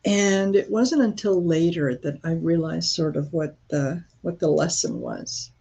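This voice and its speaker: A woman from Croatia hosting a casual live stream, talking in a rambling, halting way with many fillers and restarts. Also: low-pitched, gravelly, warm tone, breathy edge.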